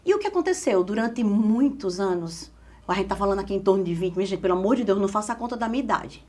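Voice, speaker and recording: A woman in her forties from Caruaru with a Pernambuco Brazilian accent, telling her career story as an interview guest in an expressive, emphatic way. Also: mid-range voice, fast talker, slight background noise. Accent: Pernambuco Brazilian Portuguese. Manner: expressive, emphatic, lively storytelling with repeated phrases. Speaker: guest, communication specialist.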